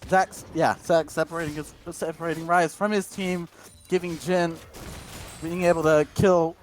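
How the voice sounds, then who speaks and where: A man's voice: fairly high pitch, steady tone. A man calling esports play-by-play, rattling off action breathlessly and stumbling over his words. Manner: rapid breathless calls, tripping over words. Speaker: play-by-play caster.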